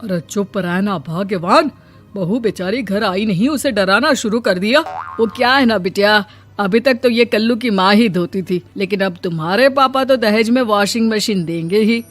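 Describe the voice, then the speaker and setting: A woman voicing a proud, quick-tempered middle-aged mother-in-law who talks in a scolding, haughty way. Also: mid-range voice. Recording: faint music behind.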